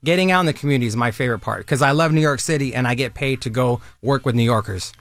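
A man in his thirties talks in a persuasive, friendly way, like a recruiter on a radio interview. His voice sits at a middle pitch. The sound is dry and close with no room echo. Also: quick pace, fairly bright tone. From Southern California. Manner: upbeat, persuasive, conversational. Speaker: military recruiter guest.

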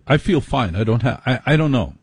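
A male late-night radio host with a somewhat husky voice and a wry, teasing delivery. He jokes and banters with callers in a dry, close studio sound. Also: mid-range voice, lively intonation, a little fast, darkish tone, no room sound. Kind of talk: call-in radio talk.

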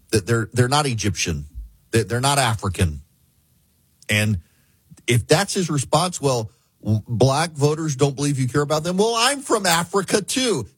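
A man's voice: a little high, a bit bright, husky, no echo. A man from the American South hosting an opinionated political talk radio show, sarcastic and mocking.

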